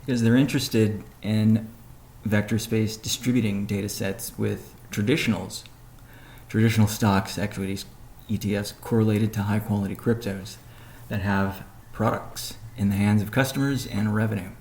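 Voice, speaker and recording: A man giving a measured, businesslike update on a conference call, pausing between phrases as he reads out points and answers questions. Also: on the low side, fairly even intonation, a little mellow. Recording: noisy conference call audio.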